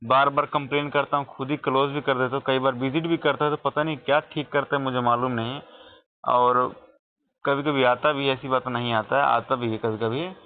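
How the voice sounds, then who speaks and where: A man's voice: mid-range voice, steady tone, a little fast. Insistent Indian man on a tinny phone line. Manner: insistent, repetitive, aggrieved. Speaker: customer calling support with a complaint. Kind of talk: phone complaint call. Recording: tinny phone call recording.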